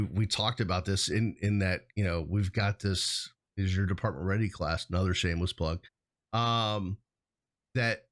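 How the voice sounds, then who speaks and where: A man co-hosting a casual shop-talk discussion, speaking in an informal, plain-spoken way. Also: on the low side. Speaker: hazmat consultant and show host. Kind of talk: casual chat, professional topic.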